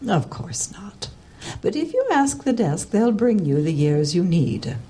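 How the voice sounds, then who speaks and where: Radio drama: stern old female researcher, sixties, mellow but husky, clipped, haughty, easily irritated. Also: medium pitch, very animated, measured pace. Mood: curt, guarded. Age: 60s. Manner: clipped, haughty, impatient, schoolmarmish. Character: stern, prickly old woman researcher at small-town library.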